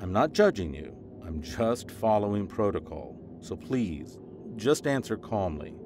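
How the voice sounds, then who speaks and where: Expressive, officious school principal. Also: male voice, fairly low pitch, unhurried, background noise. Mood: earnest, formal. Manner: polite, procedural. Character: earnest, officious male school principal, reassuring but awkward. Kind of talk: audio drama acting.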